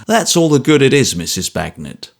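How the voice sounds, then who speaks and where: A man's voice: mid-range voice, big pitch swings, moderate pace, gravelly. A man playing a kindly, troubled former trooper who talks earnestly and a little apologetically. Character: troubled ex-soldier, big, gruff and kindly.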